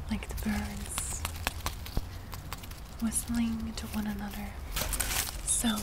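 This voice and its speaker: A soothing forest witch acted by a young woman whispers softly in an ASMR roleplay. She speaks slowly with little rise or fall in pitch, repeating words hypnotically. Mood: gentle, coaxing. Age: 20s. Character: gentle, soothing female forest witch healer. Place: outdoors in a leafy garden.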